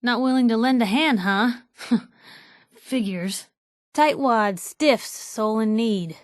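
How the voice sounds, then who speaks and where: A woman's voice: on the high side, no room sound, clean studio sound, natural voice. An actress playing a plucky young woman newspaper reporter in a video game, with a wry, teasing, conversational way of talking. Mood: wry, disapproving. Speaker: companion character voice actor.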